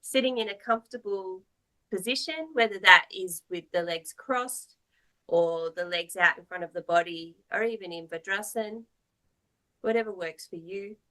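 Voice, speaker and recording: A woman teaching yoga, guiding a meditation in a soothing, measured, gently instructive manner. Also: medium pitch, rough recording.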